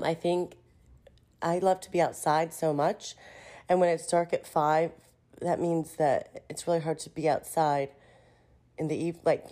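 A woman's voice: sits low, a little mellow. A woman in her thirties with a cold and a stuffy nose, chatting casually in a voice memo, self-deprecating with many ums. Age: 30s. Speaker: contributor sending a voice memo.

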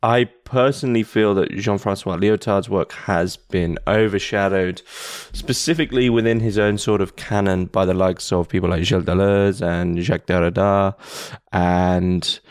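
A man hosting a podcast interview in a casual way, often pausing with fillers and restarting his sentences. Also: low-pitched, steady tone, smooth, dry recording, studio quality. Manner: hesitant, many fillers and restarts. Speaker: podcast host.

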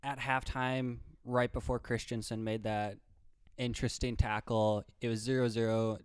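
A man's voice: mid-range voice, little pitch movement, moderate pace, clear, resonant tone, dry recording, clean studio sound. A man co-hosting a casual sports podcast, explaining his views confidently with fillers like "right" and "like". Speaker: podcast co-host.

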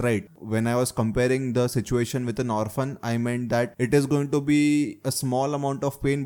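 A man's voice: medium pitch, a little mellow, clean tone. Young man probing with questions. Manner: probing, keeps adding "right?" checks. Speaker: host asking questions. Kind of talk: casual discussion.

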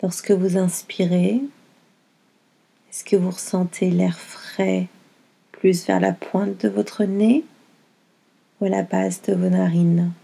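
A woman leads a guided meditation with a soft, husky voice that stays dark and mellow. She speaks gently in short, soothing phrases, leaving long pauses between them. There is a light fry in her voice as she gives each unhurried instruction. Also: fairly low pitch, flat delivery. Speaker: yoga nidra guide.

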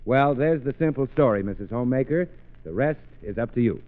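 Animated American man, vintage radio announcer. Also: medium pitch, fairly quick. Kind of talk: 1930s radio announcing and sketch banter. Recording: tinny narrow old radio broadcast.

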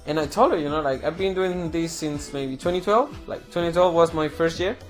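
Young chilean dude, pretty high voice, rambly and loose, music playing faintly behind. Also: male voice, fairly quick. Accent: Chilean Spanish. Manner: chatty, loose, peppered with "bro" and "you know". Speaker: fighting game player and commentator. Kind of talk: casual interview.

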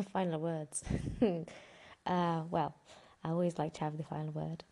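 Woman, 30s, gravelly fry, easygoing interview guest who rambles with fillers. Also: sits low, a little slow. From Romania. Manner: relaxed, rambling, with fillers and small laughs. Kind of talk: casual interview answers.

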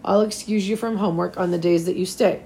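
Storybook role: encouraging, persuasive male teacher read by a woman, slightly hoarse, gentle delivery. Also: on the low side, fairly even intonation, fast talker, slight background noise, close to an everyday voice, rough recording. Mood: coaxing.

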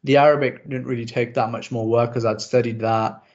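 A young man whose first language is Urdu, hosting a casual interview chat and talking in a quick, self-deprecating way with lots of asides. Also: mid-range voice, fairly even intonation, a little mellow. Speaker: podcast host. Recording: slightly dull lo-fi audio.

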